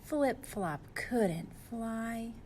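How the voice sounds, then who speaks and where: A woman's voice: fairly high pitch, lively intonation, very slow, darkish tone, a little hiss or hum. A woman reading a storybook aloud to young children, expressively and speaking to them directly.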